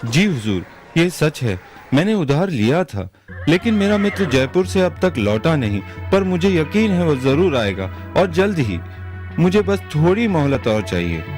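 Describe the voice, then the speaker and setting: Male actor as honest pleading merchant, high lively voice, humble, cartoon folk tale, faint music. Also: a little slow. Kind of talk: animated moral story acting in Hindi. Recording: music bed behind the voice.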